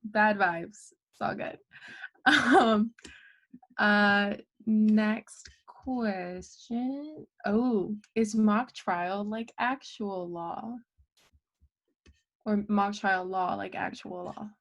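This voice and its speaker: A woman's voice: medium pitch, extremely slow, dull audio. A young woman in a college mock trial club, chatting in an upbeat, giggly way on a video call. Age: young adult. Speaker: mock trial member running questions. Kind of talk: casual Zoom chat.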